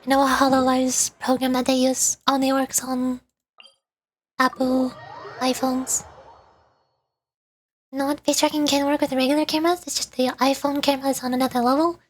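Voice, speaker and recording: A woman's voice: high-pitched, little pitch movement, normal speed, pauses often, a little hiss or hum. A woman VTuber chatting casually to livestream viewers in a rambling, playful way, often repeating words. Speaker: VTuber streamer. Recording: background music. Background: music.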